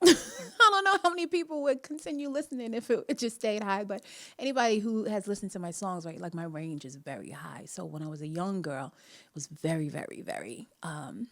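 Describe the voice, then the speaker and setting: A woman's voice: mid-range voice, expressive, a little fast. A woman with a Jamaican accent hosting a live online talk, telling a personal story in a chatty, earnest way and often laughing between phrases. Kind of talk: personal storytelling, motivational chat.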